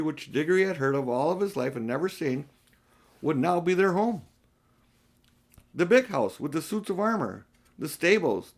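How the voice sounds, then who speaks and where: An older man narrating a children's storybook as an audiobook reader, occasionally stumbling and restarting a phrase. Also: a little high. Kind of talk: storybook narration read aloud, with small slips and restarts.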